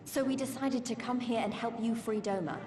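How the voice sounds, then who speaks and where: A woman's voice: on the high side, fairly quick, lightly acted. An actress playing a friendly, hopeful young female freedom fighter in a video game, speaking in an informal, earnest way. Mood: earnest, determined. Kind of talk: scripted game voice acting. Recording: echoey hall reverb under clear music.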